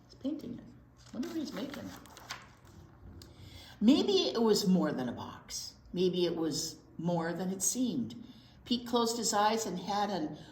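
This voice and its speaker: Female children's storytime reader, slow and highly expressive, stretches key words, roomy echo. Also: slight background noise. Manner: expressive, drawn-out key words, prompting questions to small children. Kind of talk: animated picture book read-aloud for young children. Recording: roomy, echoey indoor sound.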